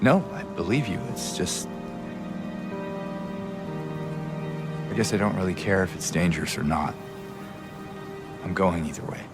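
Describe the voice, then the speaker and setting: A man playing a weary, grieving middle-aged widower searching for his lost wife in a video game, speaking in a hushed, halting, brooding way. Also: deep voice, expressive. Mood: hesitant, resolute. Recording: loud music over the voice.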